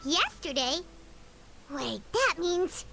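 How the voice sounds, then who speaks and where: A woman voicing a small, childlike, excitable fairy companion in a video game, squeaky and expressive. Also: slow, creaky, exaggerated voice. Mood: startled. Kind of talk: video game character acting.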